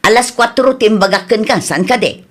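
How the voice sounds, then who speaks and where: A woman's voice: medium pitch, moderate pace, lightly acted. Exasperated older cousin, Ilocano woman, acted. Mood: scolding. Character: exasperated older female cousin who scolds latecomers. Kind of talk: Ilocano comedy radio drama acting.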